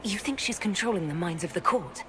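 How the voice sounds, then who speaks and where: A woman's voice: medium pitch, animated, a little fast, over music, natural voice. An actress playing a wary female leader with a dry wit in a video game cutscene. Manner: guarded, dry.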